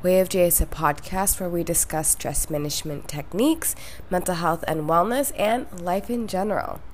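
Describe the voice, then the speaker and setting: A woman's voice: lowish voice, normal speed, slight background noise. A young woman hosting a solo podcast, sounding nasal from a cold and talking in a reflective, conversational way. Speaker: podcast host.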